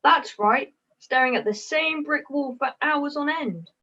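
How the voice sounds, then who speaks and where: A woman's voice: high-pitched, unhurried, bright, forceful tone. A young woman voicing a put-upon, droll fluffy puppet in an amateur play over a video call. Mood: droll grumbling. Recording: muffled video call audio.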